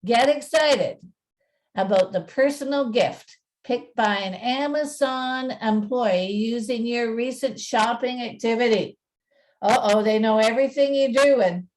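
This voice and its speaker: A woman working as narrator and presenter, telling a story in a measured, deliberate way with informal presenting. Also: fairly high pitch, a little mellow, poor audio.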